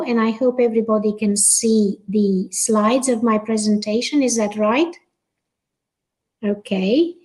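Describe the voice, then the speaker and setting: A middle-aged woman from Romania giving a prepared conference talk as a guest professor over a video call, speaking in a careful, measured way with frequent "uh" and "um". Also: medium pitch, a little mellow, rough recording. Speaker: guest panelist, associate professor.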